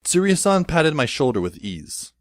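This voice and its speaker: A man playing a wry, introspective schoolboy who narrates an audio drama in a dry, measured, literary way. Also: fairly high pitch, very animated, no room sound. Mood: thoughtful. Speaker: narrator and lead character. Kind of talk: first-person scripted audio drama narration.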